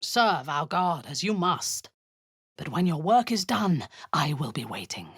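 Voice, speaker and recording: Husky drow warlady from a game, cruel and bossy, slow, dry close mic. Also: sits low, sing-song, dry recording, exaggerated voice. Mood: commanding. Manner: commanding, menacing, drops to a husky whisper. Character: cold, imperious female drow warlord, cruel and commanding. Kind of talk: video game voice acting.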